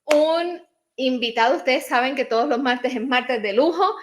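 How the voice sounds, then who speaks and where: A woman's voice: sits high, moderate pace. A woman hosting an online interview show over a video call, greeting viewers and the guest in an effusive, wordy way. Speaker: show host. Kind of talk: warm talk-show welcome.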